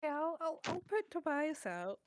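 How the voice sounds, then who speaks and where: A woman's voice: high voice, very animated, moderate pace, a little hiss or hum. A woman chatting casually as a player in a tabletop game, hesitant and apologetic.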